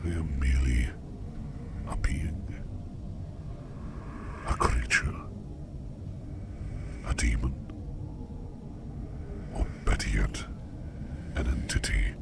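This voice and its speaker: A man playing a curious, gentle sleep demon in a whispered ASMR roleplay, speaking formally and in measured phrases with a gravelly, breathy voice. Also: rumbling low, lively intonation, very noisy, heavily acted. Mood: reassuring. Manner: slow, measured, formal old-fashioned diction.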